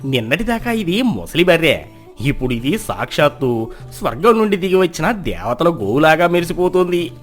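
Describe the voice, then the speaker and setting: A man's voice: fairly high pitch, big pitch swings, normal speed, faint music behind. A man voicing a cunning, lazy village trickster in a folk tale, boastful and persuasive in his talk. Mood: smug scheming.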